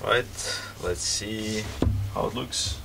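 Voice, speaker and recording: Low slow gravelly guy, fixing stuff on a bench, lots of ums, mic kinda far off with background noise. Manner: hesitant, many ums and restarts while working. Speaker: repair video host. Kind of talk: casual hands-on repair commentary. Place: workbench, indoors.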